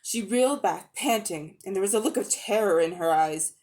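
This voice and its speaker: A woman's voice: medium pitch, expressive, normal speed, darkish tone. A woman reading a children's fantasy story aloud as a dramatic narration. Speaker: audiobook reader.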